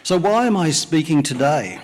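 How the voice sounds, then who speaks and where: A middle-aged man from Wales, a native Welsh speaker, preaching a sermon and praying in a church service, speaking plainly with frequent "uh" pauses. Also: fairly high pitch, very animated. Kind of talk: sermon with prayer. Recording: long hall reverb.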